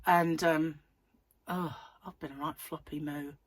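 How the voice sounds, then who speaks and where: Croaky, husky woman chatting. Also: deep voice, fairly even intonation, unhurried, no echo. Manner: rambling, chatty, self-mocking. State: croaky voice from a cold. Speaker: crochet channel host. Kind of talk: casual chatty vlog. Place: at home, seated in a chair.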